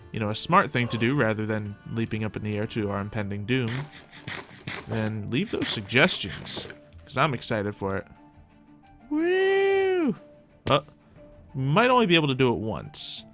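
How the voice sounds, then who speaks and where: Chatty young man narrating his gameplay. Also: mid-range voice. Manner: chatty, talks to his pet dragon, excited yelps. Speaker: roleplay gameplay host. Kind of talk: casual gameplay roleplay commentary.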